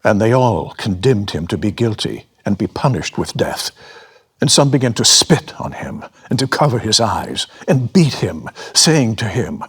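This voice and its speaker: Male dramatic Bible narrator: deliberate, grave, widely varied intonation, some rasp. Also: lowish voice, big pitch swings.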